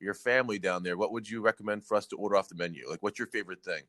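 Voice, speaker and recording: A man hosting a podcast interview, quick and upbeat as he keeps the questions coming. He encourages his guest, and his voice is captured close and dry. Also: lowish voice, bright, forceful tone, no room sound. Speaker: podcast host.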